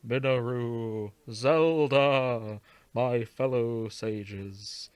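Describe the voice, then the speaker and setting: Guy doing ancient lady spirit chief, super slow n grand, dramatic pauses, dry close sound. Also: medium pitch, smooth, no echo. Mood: stately, solemn. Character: ancient female sage spirit, solemn, stately chief. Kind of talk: hyperdramatic fan fiction reading.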